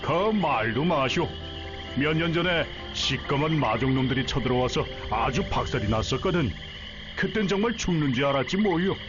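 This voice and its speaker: A man's voice: mid-range voice, animated, normal speed, natural voice. Guy doing a folksy middle-aged dock local, talkative and blunt, game npc, bit muffled. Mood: recounting hardship. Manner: gruff-worded, rustic, familiar, talkative. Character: chatty, folksy middle-aged male port villager. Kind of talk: Korean game story voice acting. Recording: dull sound under music.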